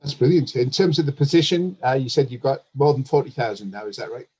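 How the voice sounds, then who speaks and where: A man's voice: medium pitch, quick pace, darkish tone, slightly rough. A man hosting an online talk show, welcoming guests in a friendly, hesitant way with frequent fillers. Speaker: host. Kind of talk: casual online panel interview.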